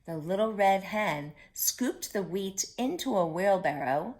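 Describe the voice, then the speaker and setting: A woman reads a children's storybook aloud at a slow, deliberate pace. Her pitch sits in the middle range, and she stops to ask her young listeners questions. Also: expressive, a little mellow.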